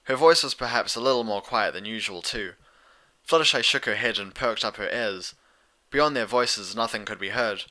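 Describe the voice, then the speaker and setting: A man's voice: on the low side, normal speed, pushed and bright. A man reading a story aloud as a scripted audiobook narrator.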